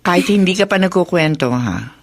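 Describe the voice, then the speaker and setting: A middle-aged Filipino mother in a radio drama. Her voice sits a little low, with a chatty, motherly way of talking. She is warm, teasing and knowing, a widow who sells clothes at the market. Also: animated, a little fast, vocal fry.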